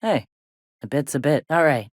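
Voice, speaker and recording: An actress playing a tough, gruff female rebel leader in a video game, with a blunt, salty, commanding manner. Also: deep voice, animated, moderate pace, dry recording, studio quality. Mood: gruff, dismissive.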